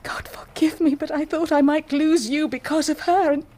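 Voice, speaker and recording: An actress playing an anguished, dignified married woman confessing a secret, speaking formally and with deliberate passion in a period radio drama. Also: high-pitched, fairly even intonation, warm tone, close to an everyday voice. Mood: anguished confession. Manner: formal, deliberate, impassioned, declamatory.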